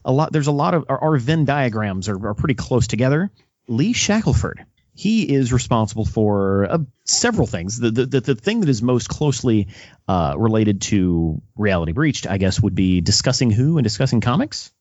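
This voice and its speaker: A man interviewing as a podcast host in a casual, halting style, often repeating words as he goes. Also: mid-range voice, sing-song, darkish tone, no echo, lo-fi sound.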